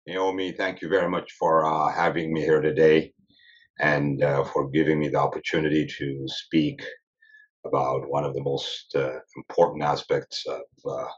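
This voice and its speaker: A man, a physician answering interview questions in a measured, deliberate way with frequent pauses. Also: rumbling low, flat delivery. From Ethiopia. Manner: measured, deliberate, often pausing mid-sentence. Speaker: sleep medicine physician guest. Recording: dull lo-fi recording.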